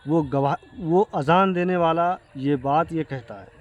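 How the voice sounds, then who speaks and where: A man with an Indian accent giving an earnest explanation to viewers, speaking haltingly and repeating himself. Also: a little high, dull audio. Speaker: video creator addressing viewers. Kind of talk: explanatory talk to camera.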